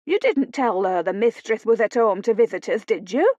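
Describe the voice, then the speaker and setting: A woman narrator puts on an anxious housekeeper from a period novel. She is fretful and easily flustered, with a sharp, bossy way of speaking. The recording is dry. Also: mid-range voice, big pitch swings, moderate pace, dry recording, lo-fi sound. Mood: alarmed.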